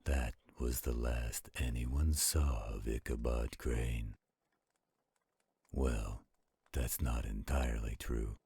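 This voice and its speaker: A man voicing a protective werewolf, reading a spooky story slowly and suspensefully in a husky, gravelly voice. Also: very deep voice, steady tone, mellow, no room sound. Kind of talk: slow dramatic ghost story narration, roleplay audio. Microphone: close studio-style microphone.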